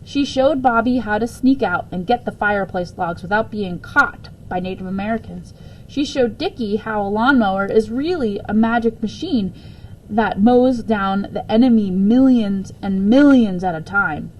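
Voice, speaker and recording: Expressive woman reading a children's story. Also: medium pitch, moderate pace, rough recording. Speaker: story reader. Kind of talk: children's book read-aloud. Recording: faint background noise.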